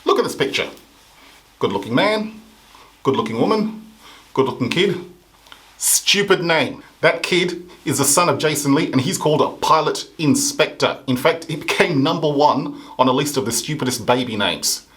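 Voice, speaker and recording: Man from Africa, comedy host, sarcastic satirical monologue with punchy mock outrage, voice sits a touch high. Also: expressive, moderate pace, fairly bright tone.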